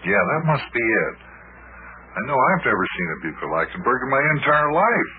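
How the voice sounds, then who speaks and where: A man playing an easygoing, measured detective in an old-time radio serial, reasonable and slightly sheepish. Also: medium pitch, sing-song, slight background noise, close to an everyday voice. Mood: reasonable, rueful. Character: steady, easygoing big male detective, storyteller and partner. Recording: narrow-band old radio recording.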